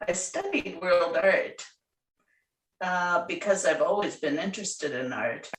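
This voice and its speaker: Slow, thoughtful woman, roomy and distant. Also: lowish voice, sing-song, a bit bright. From Hungary. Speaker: artist guest. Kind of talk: radio interview answers.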